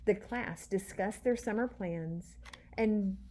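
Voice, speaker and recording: A woman teacher reading a children's storybook aloud in an expressive, deliberate way, with little asides to her listeners. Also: mid-range voice, a little hiss or hum.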